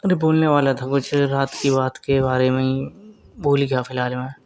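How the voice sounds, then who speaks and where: A man's voice: medium pitch, flat delivery, moderate pace, a little mellow. Young man teasing on a private call.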